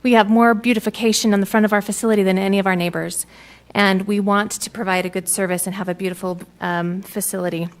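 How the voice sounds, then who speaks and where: A woman's voice: medium pitch, fairly even intonation, quick pace. A woman who owns a business, reading an earnest, defensive prepared statement at a city council meeting.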